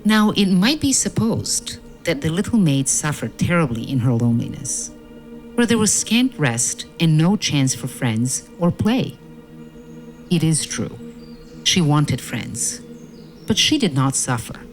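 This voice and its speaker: A woman narrating a fairy-tale audio play in a measured, solemn storytelling manner. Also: low-pitched, very animated, low-quality recording. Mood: gentle storytelling. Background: music.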